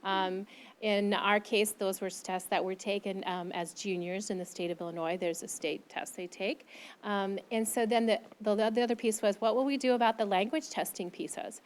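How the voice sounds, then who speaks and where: A woman's voice: mid-range voice, fairly even intonation, moderate pace, a little hiss or hum. Earnest woman presenter answering questions. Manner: earnest, explanatory, many ums and restarts. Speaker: invited presenter, language teacher. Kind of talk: conference presentation and Q&A. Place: conference room with audience. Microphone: handheld microphone.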